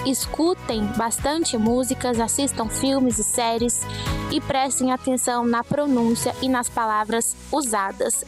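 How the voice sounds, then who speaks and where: A woman English teacher delivering study tips as a list of short instructions. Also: a little high. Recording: music under the voice.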